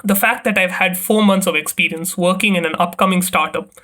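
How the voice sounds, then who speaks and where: A man's voice: high-pitched, steady tone, a little fast, pushed and bright. A young man from India, a first-year college student, giving a formal, rehearsed class presentation about himself. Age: young adult. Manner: formal, rehearsed, reading from a script.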